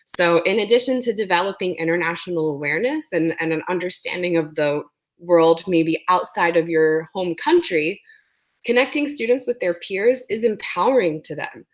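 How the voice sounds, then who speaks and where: A woman's voice: on the low side, normal speed. A woman presenting an educational webinar, speaking with a sore throat and explaining things with frequent ums.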